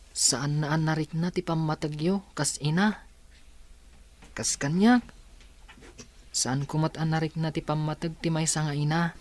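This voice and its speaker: A man's voice: on the high side, darkish tone, natural voice. A man playing a polite, worried, orphaned young student who speaks respectfully and earnestly in a radio drama. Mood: tender, earnest. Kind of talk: Ilocano radio drama acting.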